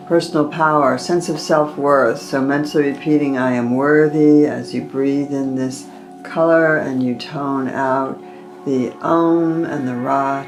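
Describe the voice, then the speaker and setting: A meditation guide leads a chakra session at a very slow, unhurried pace. The breathing cues are stretched out long. Soft instrumental music plays faintly underneath. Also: mid-range voice.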